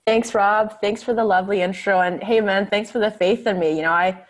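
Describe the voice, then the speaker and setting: A woman's voice: mid-range voice, steady tone, fairly quick. A woman who grew up in Scandinavia, a guest telling her story in an articulate, conversational way. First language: a Scandinavian language. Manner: articulate, storytelling, with light laughs. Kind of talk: interview conversation.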